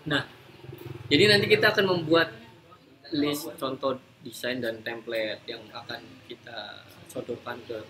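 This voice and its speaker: A man's voice: medium pitch, unhurried. A man hosting a casual live talk, explaining informally and mixing in English business terms. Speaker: host, creative agency founder. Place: room, live social media broadcast. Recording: noisy live-stream room recording.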